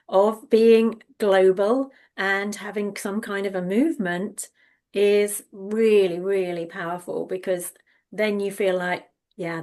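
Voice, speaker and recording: A woman's voice: medium pitch, a little mellow. Upbeat woman hosting an interview. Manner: enthusiastic, encouraging, repeats words. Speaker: interview host. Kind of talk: interview conversation.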